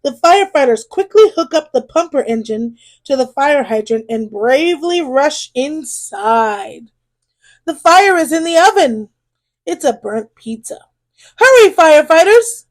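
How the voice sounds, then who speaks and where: A woman reading a children's story aloud in an expressive way, drawing out key words for emphasis. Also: high voice. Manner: animated, drawn-out emphasis on exclamations and key phrases.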